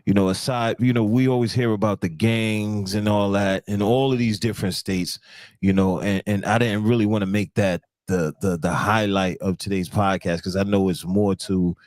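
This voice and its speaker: A man's voice: lowish voice, fairly even intonation, moderate pace, a little mellow, no room sound, studio quality. A man hosting a podcast, chatting casually in slang and often repeating words for emphasis. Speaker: podcast host. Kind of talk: casual interview chat.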